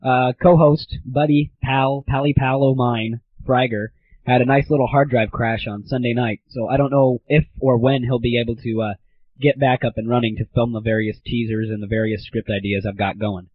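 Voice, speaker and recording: A man's voice: medium pitch, steady tone, moderate pace, a little hiss or hum. A man talking casually as a comedic podcast host, in an irreverent, sweary, slangy manner. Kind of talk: casual comedic show talk. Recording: muffled phone-like lo-fi audio.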